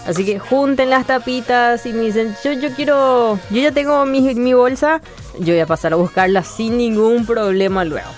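A woman with a Paraguayan Spanish accent hosting a radio show, chatting playfully and reading listener messages. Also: on the high side. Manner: chatty and playful, laughs often. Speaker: radio host.

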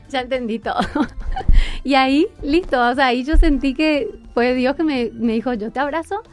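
A young Argentine woman with a somewhat high voice speaks earnestly and personally, often pausing with fillers as she recounts her past. Soft music plays faintly underneath her words. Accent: Argentine Spanish. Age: 20s. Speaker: guest musician. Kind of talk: casual interview.

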